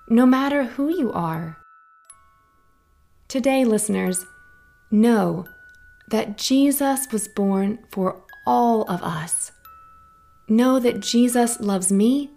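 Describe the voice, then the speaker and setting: Slow-paced woman telling kids' Bible stories. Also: a little high. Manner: gentle, clear, unhurried storytelling. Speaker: children's Bible story host. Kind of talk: scripted narration for children.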